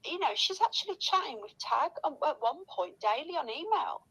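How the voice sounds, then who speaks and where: A woman's voice: sits high, expressive, moderate pace, fairly bright tone, low-quality recording. A woman recounting gossip casually, sweary and indignant.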